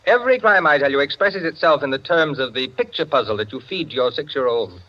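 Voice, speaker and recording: An actor playing an opinionated, self-satisfied clubman who lectures his friends in theatrical 1940s radio style, with savored pauses. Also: medium pitch, animated, close to an everyday voice. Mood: emphatic, condescending. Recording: dull, band-limited 1940s radio recording.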